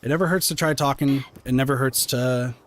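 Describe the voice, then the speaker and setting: A man's voice: medium pitch, a little fast. Soothing-voiced man rambling on stream. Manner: rambling, many fillers and restarts; mild swearing. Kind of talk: casual chat with viewers while gaming.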